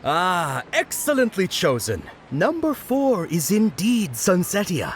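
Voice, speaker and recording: A woman's voice: fairly low pitch, big pitch swings, slow pace, slight background noise. A woman voicing a cheerful, friendly candy vendor in a video game, with upbeat sales patter. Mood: upbeat, helpful. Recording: loud background music.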